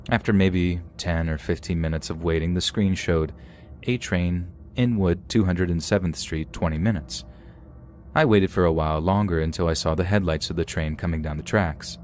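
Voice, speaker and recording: A man with a very low voice reads a first-person horror story in a steady, even way. Quiet music plays faintly under him. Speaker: narrator.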